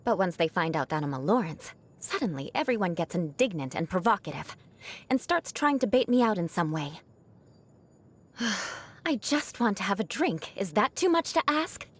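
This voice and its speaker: A woman's voice: medium pitch, sing-song, moderate pace, husky. A young woman voicing a proud, haughty noblewoman knight in a video game, an outcast bent on revenge, with formal, dramatic diction. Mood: exasperated, indignant. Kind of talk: video game character voice lines.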